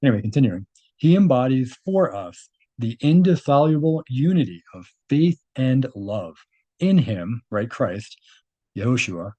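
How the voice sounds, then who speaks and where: A man's voice: mid-range voice, mellow. A man reading a document aloud and adding informal, opinionated commentary of his own.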